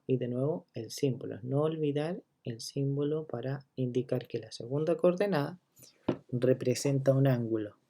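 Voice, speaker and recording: A man teaching a geometry lesson, counting steps out loud and checking in with listeners as he goes. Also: medium pitch. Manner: walks through each step, checking in with listeners; counts items out loud one by one. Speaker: math teacher.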